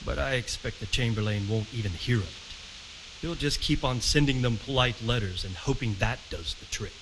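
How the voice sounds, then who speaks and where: A man playing a wary, troubled medieval lord in a video game, speaking with measured authority. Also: mid-range voice, noisy background, natural voice. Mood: considering, commanding. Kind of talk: cinematic game dialogue.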